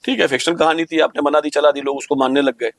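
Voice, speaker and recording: A man's voice: a little high, steady tone, quick pace, dry recording, clean studio sound. A man with an Indian accent hosting an opinionated podcast discussion, speaking emphatically with rhetorical questions and slipping into English. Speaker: podcast host.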